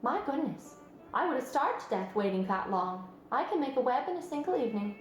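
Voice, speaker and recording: A woman's voice: high voice, big pitch swings, fairly quick, voice pushed up. A woman voicing a clever, patient female spider with a precise, instructive manner in a storybook read-aloud. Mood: proud, reassuring. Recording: roomy sound, music behind.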